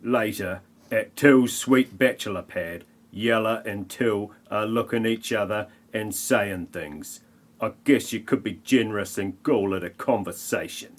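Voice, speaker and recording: A man giving deadpan, mock-grand narration for a comic parody audio play. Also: lowish voice, unhurried.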